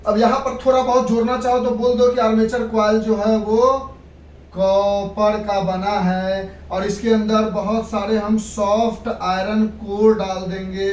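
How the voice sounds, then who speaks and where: A woman's voice: mid-range voice, flat delivery, unhurried, a little mellow. A woman teaching physics in an instructive lecture style, repeating points and mixing in English terms. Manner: instructive, repeats and checks understanding. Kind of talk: classroom lecture. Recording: echoey room, distant mic, background noise.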